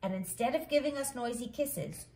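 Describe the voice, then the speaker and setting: A woman reading a children's story aloud in an expressive storytelling style, acting out the dialogue. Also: on the high side, normal speed. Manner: animated, acts out dialogue. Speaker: read-along host, storyteller. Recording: echoey room.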